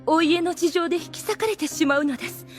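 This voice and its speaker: A woman's voice: extremely high pitch, fast talker, faint music behind. A woman playing a proud, scheming demon lady in an anime audio drama, with refined, condescending phrasing and a theatrical manner.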